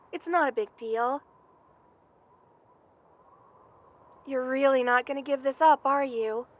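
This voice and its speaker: A woman voicing a young lamia best friend in an intimate ASMR roleplay, affectionate and hesitant, with a soft-hearted manner. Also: sits high, lo-fi sound, natural voice. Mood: sheepish, caring.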